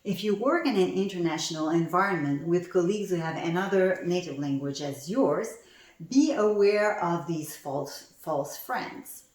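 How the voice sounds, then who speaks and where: Woman coach, lowish voice, teaching through personal stories, mic set back in a roomy space. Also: expressive, normal speed, darkish tone. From Canada. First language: French. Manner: coaching tone, tells personal stories, poses questions to the audience. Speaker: communication coach. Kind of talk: online conference presentation. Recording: roomy sound from a distant mic.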